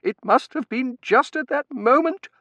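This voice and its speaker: A man performs a bewildered society matron from a novel. The voice is high and wavering, with vague, drawn-out phrases that swoop about, recorded dry. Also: sing-song, pushed and bright, dry recording, dull audio, exaggerated voice. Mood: rising alarm. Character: faint, bewildered, imperious middle-aged society mother.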